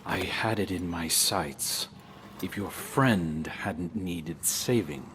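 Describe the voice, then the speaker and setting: A man's voice: deep voice, slow, fairly bright tone, a little hiss or hum. An actor playing a brooding, resentful but obedient henchman in a video game cutscene. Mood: sullen, restrained.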